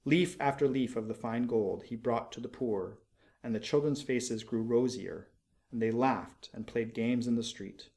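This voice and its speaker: A man narrating a literary story expressively, with measured, deliberate phrasing. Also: mid-range voice, a little mellow. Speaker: audiobook narrator.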